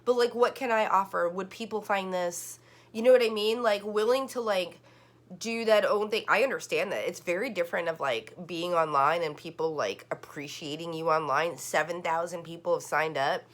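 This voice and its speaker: Low-voiced woman giving a rambling tarot reading. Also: moderate pace. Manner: rambling, with many "like" fillers and restarts. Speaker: tarot card reader. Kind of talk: casual online tarot reading.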